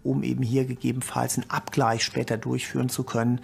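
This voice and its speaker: A man's voice: medium pitch, flat delivery, fast, vocal fry. A man explaining things as a forensic expert in an interview.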